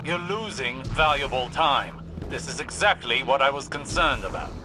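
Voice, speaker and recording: An actor playing a stern, impatient veteran mentor in a video game, formal, insistent and commanding. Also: male voice, mid-range voice, normal speed, background noise, natural voice. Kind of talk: dramatic video game acting.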